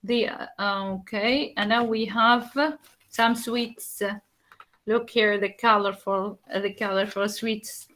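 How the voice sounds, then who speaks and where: A woman's voice: medium pitch, level tone, slow pace, a bit bright. A woman from Tunisia with a Tunisian accent, a native Arabic speaker, giving a slide presentation in a hesitant way, with many fillers and restarts.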